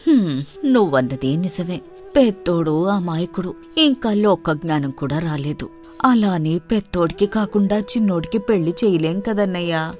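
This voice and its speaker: A woman's voice: lowish voice, lively intonation, normal speed, darkish tone, soft background music, close to an everyday voice. A woman playing a trusting, earnest middle-aged village mother in a moral story. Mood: trusting, concerned.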